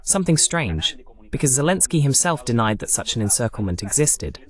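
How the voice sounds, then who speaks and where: Man commentating with a very lively pitch. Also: fairly quick. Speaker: host of a political commentary broadcast. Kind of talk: live news commentary on war footage.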